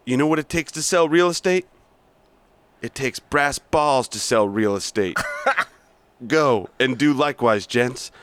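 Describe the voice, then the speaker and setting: A man's voice: mid-range voice, a little slow, pauses often, a bit bright, clear, resonant tone, no echo, pitched above the performer's own voice, natural voice. A man reading aloud as a brash, abusive male sales boss, berating his staff and swearing, at times stumbling over the script. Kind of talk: amateur reading of a scene.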